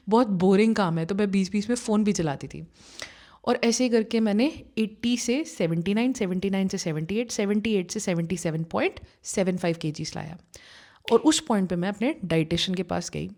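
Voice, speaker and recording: A woman's voice: medium pitch, a little mellow. A young adult woman, a manifestation coach, telling her own story in a chatty way, with fillers and English phrases mixed in. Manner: conversational, mixes Hindi with English phrases, many fillers like you know. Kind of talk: personal story told to camera.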